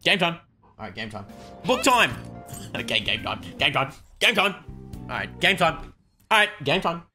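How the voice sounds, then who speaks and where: A young adult man chatting excitedly on a gaming livestream, tossing out short repeated catchphrases. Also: a little high, moderate pace, faint music behind. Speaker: game streamer.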